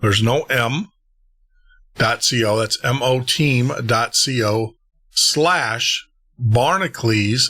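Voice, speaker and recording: A man's voice: mid-range voice, pushed and bright. A man hosting a late-night radio show and podcast, chatting casually, rambling and laughing at himself. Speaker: host.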